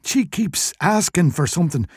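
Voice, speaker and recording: A man voicing a burly, irritable Irish shopkeeper in a short story, wheezy and gruff in his complaining. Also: on the high side, very animated, normal speed, a little mellow, no echo, studio quality, pitched above the performer's own voice. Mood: grumbling.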